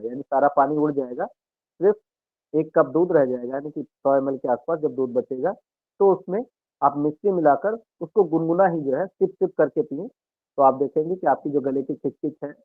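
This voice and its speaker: A man, an Ayurveda health expert, calmly explaining home remedies and speaking directly to listeners on a radio health talk. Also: on the high side, no room sound. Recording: narrow dry radio broadcast sound.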